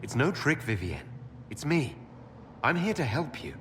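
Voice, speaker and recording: A man's voice: medium pitch, sing-song, unhurried, noisy background. A man playing a resolute elven hero in a fantasy game, sincere and determined. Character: earnest, resolute young male elven hero. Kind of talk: dramatic fantasy game dialogue.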